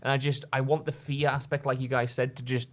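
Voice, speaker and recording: Man, mid-pitched, earnest, halting restarts, podcast co-host chat. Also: little pitch movement. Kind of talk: casual chat.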